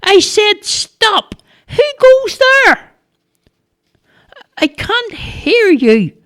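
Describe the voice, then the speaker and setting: A man voicing Charlie, a nervous excitable young man, shouting in a squeaky high voice. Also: very slow, heavily acted. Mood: panicky.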